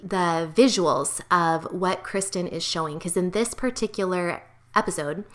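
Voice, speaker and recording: A woman podcast host with a high-pitched voice, introducing an episode and interviewing a guest. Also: a bit bright. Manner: friendly, encouraging, says mm-hmm often.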